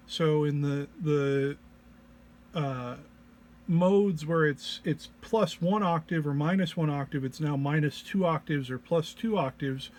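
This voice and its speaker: A man giving an informal technical walk-through as a presenter, sounding low-energy and under the weather, with a hesitant, halting delivery. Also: a little mellow. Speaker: presenter demonstrating an audio effects patch. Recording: clear background noise, single mono microphone.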